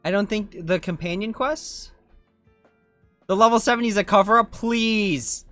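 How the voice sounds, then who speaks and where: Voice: medium pitch, normal speed, a bit bright, no room sound, faint music behind. A game streamer giving casual live commentary in an informal, self-questioning way. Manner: informal, self-questioning, often ends with "right?".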